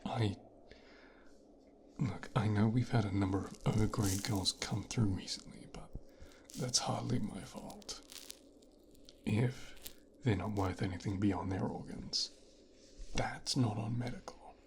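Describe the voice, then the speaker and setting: A man playing an arrogant, bitter young son of a mafia boss in an ASMR roleplay, coldly menacing, with a breathy half-whisper and a deliberate, condescending delivery. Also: fairly low pitch, fairly even intonation, a little hiss or hum. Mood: disgruntled, contemptuous. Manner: slow, deliberate, condescending, profane. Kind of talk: scripted ASMR roleplay, speaking directly to the listener.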